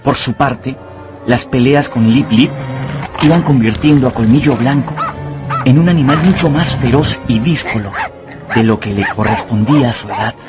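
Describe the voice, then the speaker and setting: A man's voice: medium pitch, normal speed, music in the background. A man narrating a radio novel as a scripted, dramatic storyteller.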